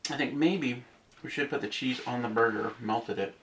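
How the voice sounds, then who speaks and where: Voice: mid-range voice, moderate pace, fairly bright tone, slight background noise. A co-host chatting casually during a livestream at an off-grid cabin, musing aloud and laughing lightly. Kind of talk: casual chat.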